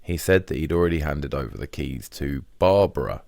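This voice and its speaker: A man's voice: extremely low pitch, expressive, fairly quick, gravelly, a little mellow, no echo. A man reading a first-person diary as scripted audiobook narration.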